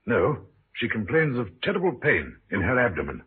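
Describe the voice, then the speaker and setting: An actor playing a dapper, evasive colonel in an old radio drama, suave and insistent. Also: male voice, mid-range voice, wildly swooping pitch, moderate pace. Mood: anxious. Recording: tinny, hissy vintage radio recording.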